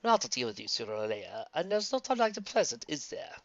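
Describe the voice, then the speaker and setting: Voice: medium pitch, big pitch swings, fast talker, vocal fry, dry recording. An amateur reader voicing a sly, scheming man with a matter-of-fact tone. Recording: dull lo-fi dry recording.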